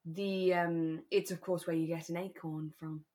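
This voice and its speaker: A British woman with a slightly low voice co-hosts a relaxed podcast. She retells and comments in an expressive, conversational manner, as in an easy chat. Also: unhurried. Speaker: podcast co-host. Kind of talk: relaxed radio drama recap chat.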